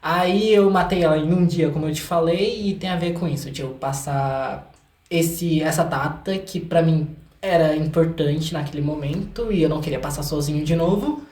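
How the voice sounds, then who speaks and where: A young Brazilian man talking casually and candidly as a guest in an interview, with an expressive manner. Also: fairly high pitch, moderate pace. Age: young adult. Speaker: guest, singer and songwriter. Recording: roomy, echoey room sound.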